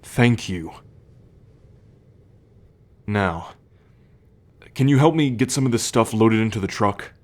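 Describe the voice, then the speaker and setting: A young man playing a troubled, protective male werewolf in an ASMR roleplay, speaking in a measured, halting, confiding way. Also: on the low side, expressive. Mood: earnest.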